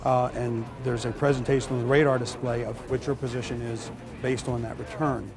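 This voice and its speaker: Fast, even-toned man with a creaky voice. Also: mid-range voice, over music. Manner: technical, formal wording. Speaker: air traffic expert being interviewed. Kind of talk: explanatory interview answers.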